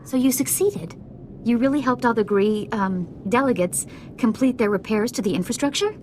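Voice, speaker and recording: An actress playing a polished senator in a video game, formal, articulate and diplomatic. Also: female voice, fairly high pitch, normal speed, a little mellow, slight background noise, natural voice. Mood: diplomatic, hopeful. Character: polished, grateful female senator. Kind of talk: video game character acting.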